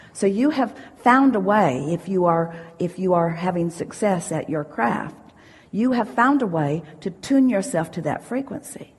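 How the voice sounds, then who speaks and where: A woman giving a motivational spiritual talk as a teacher, speaking as "we", emphatic and deliberate, repeating phrases for emphasis. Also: mid-range voice, darkish tone, breathy edge. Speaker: spiritual teacher answering audience questions. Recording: echoey hall with long reverb.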